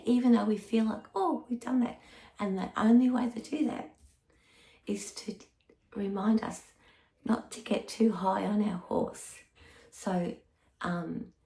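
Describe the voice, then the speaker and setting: A woman with a hoarse, gravelly voice talks through a weekly tarot reading in a mellow, dark tone. Her delivery stops and starts, sometimes sinking to a breathy whisper. The room around her sounds echoey. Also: medium pitch. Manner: halting, stop-start delivery with slips; drops to a breathy whisper at times. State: hoarse, croaky voice. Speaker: tarot reader. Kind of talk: weekly tarot forecast talk.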